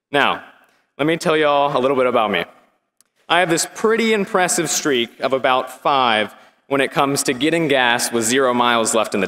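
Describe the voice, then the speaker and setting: A young man, giving his student graduation speech in an earnest, measured way with wry humor. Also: medium pitch, sharp tone. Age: young adult. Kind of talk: prepared commencement speech. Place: large indoor arena. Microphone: podium microphone. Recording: long hall reverb.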